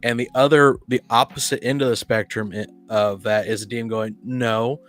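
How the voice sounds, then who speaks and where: A man's voice: medium pitch, steady tone, normal speed, a bit bright, faint music behind. A man in a casual panel discussion about tabletop gaming, speaking in an opinionated, blunt way. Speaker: podcast panelist.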